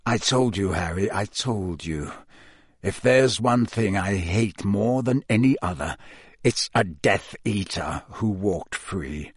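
A man voicing a gruff, menacing older male auror who has turned fanatic, with a low, growling menace, in an audiobook character voice. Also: animated, takes it slowly, no echo, clean studio sound, heavily acted.

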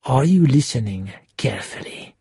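A man voicing an angry, cold gunman in an audiobook, giving hard, clipped, often hushed threats. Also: mid-range voice, animated, normal speed, vocal fry, husky, dry recording, clean studio sound, full character voice. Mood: quiet threat. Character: menacing, angry male gunman, cold and commanding. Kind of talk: audiobook character voice.

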